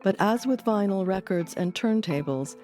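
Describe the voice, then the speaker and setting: A woman's voice: medium pitch, expressive, normal speed, a little mellow, faint music behind. A woman hosting an audio documentary, delivering scripted narration. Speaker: documentary host.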